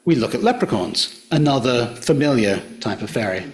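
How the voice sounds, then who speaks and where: A man's voice: medium pitch, expressive. Middle-aged Australian man telling folk tales. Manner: measured storyteller's delivery. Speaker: local history presenter. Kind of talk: public talk retelling folklore. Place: hotel function room.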